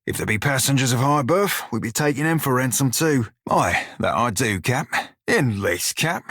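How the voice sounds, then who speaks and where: An actor playing a cocky, bawdy furry in a video game, with a sly, wry, drawling manner. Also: male voice, medium pitch, expressive, moderate pace, a bit bright, husky, no room sound, studio quality. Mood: matter-of-fact. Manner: salty, drawling pirate dialect, sly and wry. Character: bawdy, roguish furry orlan pirate shiphunter, loyal and cocky. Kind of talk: video game character dialogue.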